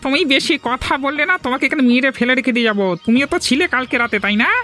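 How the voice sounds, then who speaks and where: A man's voice: very high-pitched, fast, bright, forceful tone, faint music behind. A man voicing an angry, threatening village man, a loyal friend, in a cartoon. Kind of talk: cartoon voice acting.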